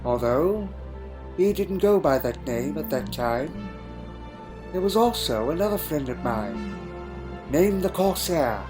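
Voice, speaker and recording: A man acting a wistful, halting alien wanderer over music. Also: fairly high pitch, expressive. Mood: nostalgic. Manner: halting, reflective, pausing between short phrases. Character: wistful male alien time traveller recalling a lonely childhood. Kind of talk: dramatic fan audio drama. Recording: music under the voice.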